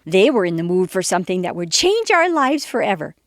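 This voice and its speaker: An elderly woman in a comedy sketch, dreamy and theatrical. She savors her words as she reminisces, with a pitch that swoops widely, in a close, dry recording. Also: sing-song, brisk, no room sound. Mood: wistful, relishing. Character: elderly woman reminiscing wistfully about racy youth.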